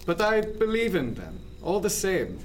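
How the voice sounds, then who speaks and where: A man playing a thoughtful ship's surgeon in a radio drama, guarded but tender, with formal, deliberate phrasing. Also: high-pitched, huge pitch swings, a little hiss or hum, exaggerated voice. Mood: earnest.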